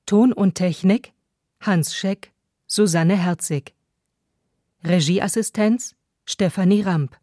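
A woman's voice: medium pitch, slow pace, long pauses, mellow, no room sound, studio quality. A woman making a scripted radio announcement as the reader of production credits.

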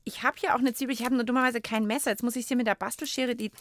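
A woman's voice: a little high, fairly even intonation, very fast, dry recording, clean studio sound. A woman, chatting casually and presenting hands-on as co-host of a children's podcast.

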